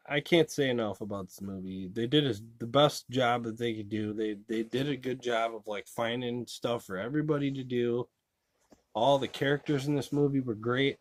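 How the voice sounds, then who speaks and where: A man talks through a movie review in a loose, rambling way, leaning on fillers and restarting his sentences. His voice sits at an ordinary pitch. The recording is dry and close with no room echo. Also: a little mellow. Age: adult. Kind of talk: casual spoken review.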